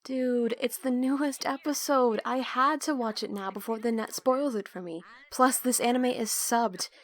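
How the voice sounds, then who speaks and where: A woman's voice: fairly high pitch, moderate pace, lightly acted. A woman playing a snarky, put-upon young student accomplice in an audio roleplay, trading sarcastic banter. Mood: defensive. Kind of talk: audio drama roleplay dialogue.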